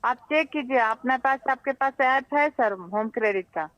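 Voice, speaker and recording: A smooth, clear-voiced woman with an Indian accent speaks as a customer service agent on a recorded phone call. She is polite and somewhat halting, going over figures again and often checking that the listener follows. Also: high voice, a bit bright, no room sound. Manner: polite, repeatedly checks understanding. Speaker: loan company call centre agent.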